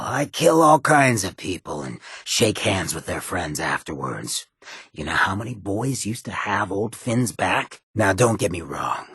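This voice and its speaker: A very raspy, gravel-throated rogue of a game character, swaggers through his lines like a small-town outlaw mayor. His pitch swings freely as he drawls streetwise, sardonic remarks. The recording is dry and close. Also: a bit bright, no echo, heavily acted. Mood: menacing, cool. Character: swaggering roguish gravel-voiced mayor, wry hedonist outlaw. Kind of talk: video game character dialogue.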